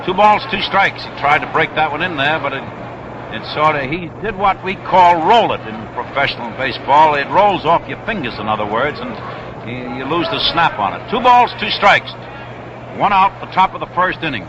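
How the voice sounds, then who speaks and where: A man calling baseball play-by-play on 1960s radio in a measured, explanatory way, repeating himself deliberately. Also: a little high, big pitch swings, highs cut off. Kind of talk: live 1960s radio sports commentary. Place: outdoors at a baseball stadium. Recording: noisy vintage outdoor radio broadcast.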